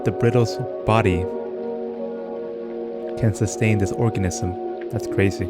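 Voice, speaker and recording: A man's voice: lowish voice, fairly even intonation, slow, over loud music. A man giving casual reaction commentary as he plays a game, curious and thinking aloud.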